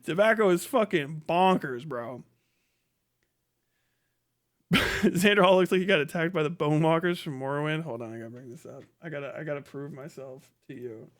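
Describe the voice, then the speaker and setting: A man's voice: on the high side, normal speed. A middle-aged man with a blunt, mocking manner, swearing casually through a comedic livestream rant. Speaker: live streamer, host.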